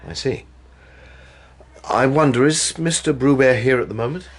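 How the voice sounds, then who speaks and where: Actor as a husky, polite male detective. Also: mid-range voice, normal speed, natural voice. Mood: gently probing. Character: polite, low-key detective inspector, apologetic but persistent. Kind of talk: radio drama acting.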